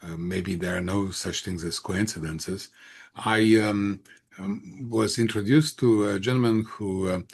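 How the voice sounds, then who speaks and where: A man in his sixties from Romania, with a Romanian accent, answering interview questions conversationally in halting phrases with frequent pauses. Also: on the low side, darkish tone, a little hiss or hum. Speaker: interview guest, entrepreneur.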